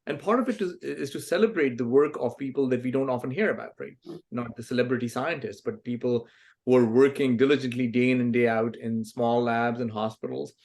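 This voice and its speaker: A man's voice: mid-range voice, a little fast, darkish tone. A man in his forties from Pakistan, a guest author answering interview questions thoughtfully, with frequent ums and restarts. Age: 40s. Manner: thoughtful, many fillers and restarts.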